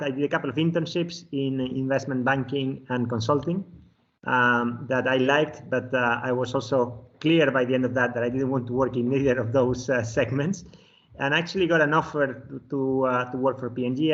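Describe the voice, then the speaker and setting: Spanish-accented man, muffled call audio. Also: mid-range voice. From Spain. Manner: chuckles often, many fillers and restarts. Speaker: guest, finance executive. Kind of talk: relaxed interview answers.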